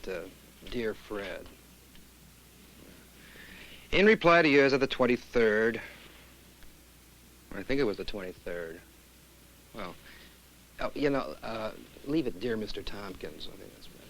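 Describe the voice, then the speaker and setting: A man's voice: mid-range voice, a bit bright, rough recording. A man playing an indecisive office boss, dictating in a hesitant, rambling, self-correcting way in a training film.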